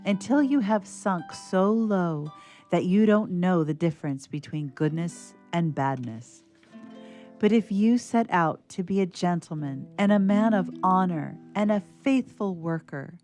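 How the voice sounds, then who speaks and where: A woman playing a gentle, proper, earnest schoolgirl in a radio theatre piece, speaking with careful deliberation. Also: fairly low pitch. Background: harp underscoring.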